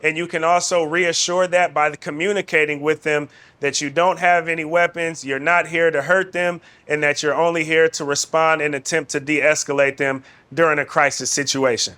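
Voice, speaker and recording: A man speaks as a trainer in an instructional film. His voice sits a little high and has a very bright, forceful edge as he lays out guidance. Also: level tone, normal speed, smooth. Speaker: de-escalation trainer.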